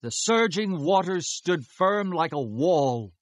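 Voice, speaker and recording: A fervent Israelite worshipper in an audio scripture drama. His voice sits fairly high for a man and moves very slowly, solemn and declamatory. The sound is dry and close. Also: lively intonation, no echo. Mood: solemn praise. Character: devout Israelite man singing praise to God, fervent.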